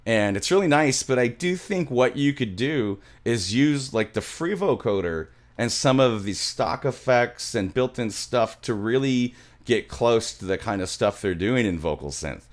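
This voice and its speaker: A man's voice: medium pitch, expressive, measured pace. A middle-aged man giving a casual music production tutorial, chatting enthusiastically and interrupting himself as he goes, close on a studio mic. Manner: rambling, enthusiastic, self-interrupting. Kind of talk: casual screen-share tutorial chat. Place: small indoor home studio. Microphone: close studio microphone, headphones on.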